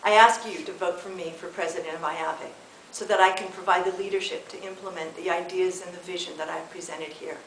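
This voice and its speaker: A middle-aged American woman from California, giving a measured, earnest, slightly halting prepared video statement as an election candidate. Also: fairly low pitch, fairly even intonation, fairly bright tone. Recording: echoey room with faint hiss.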